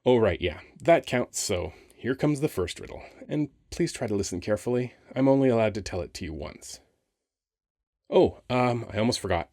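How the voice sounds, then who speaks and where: A man playing a flirty, wry, weary sphinx who guards a temple, talking casually and haltingly in an ASMR roleplay. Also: medium pitch, animated, warm tone, no room sound, studio quality. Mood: flustered, explaining.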